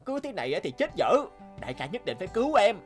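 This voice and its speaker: A man voicing an eager young gambling sidekick in a cartoon, wheedling and flattering. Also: high-pitched, quick pace, faint music behind. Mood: pleading.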